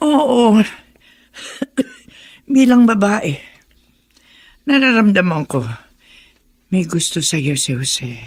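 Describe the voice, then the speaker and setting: An actress playing a sickly, affectionate elderly mother in a Filipino radio drama, with a creaky, husky voice. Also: mid-range voice, dry recording. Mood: fond, advising. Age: older. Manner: slow, tender, motherly.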